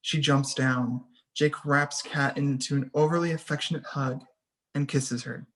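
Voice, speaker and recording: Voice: mid-range voice, steady tone, a little slow, fairly bright tone, rough recording. A narrator reading scene headings and action lines in a scripted table read. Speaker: stage directions narrator.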